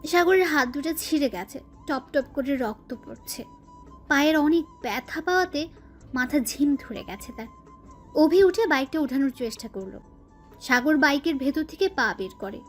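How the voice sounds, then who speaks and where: Casting: female narrator for scripted romantic fiction, high voice, faint music bed underneath. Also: expressive, moderate pace. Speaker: story narrator.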